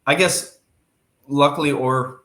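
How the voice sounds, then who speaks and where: A young man from Minnesota talks casually as a podcast guest, rambling and restarting his sentences in an easygoing way. His voice is middle-pitched and carries the echo of a roomy space. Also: fairly even intonation, takes it slowly, bright, forceful tone.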